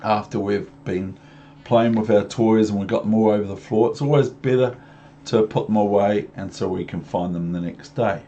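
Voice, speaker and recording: A man's voice: on the low side, normal speed, mellow. A man reading a picture book aloud to young children, with chatty asides and questions. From New Zealand. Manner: rhythmic, repetitive, talking down to young listeners with questions. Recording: muffled lo-fi home recording with background noise.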